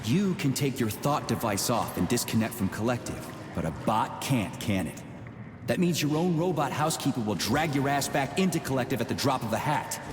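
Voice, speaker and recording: An actor playing a blunt, sardonic military major in a video game, talking in a gruff, colloquial way. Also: male voice, medium pitch, animated, a little fast, close to an everyday voice. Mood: indignant. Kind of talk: scripted video game dialogue. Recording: echoey reverberant space, music behind.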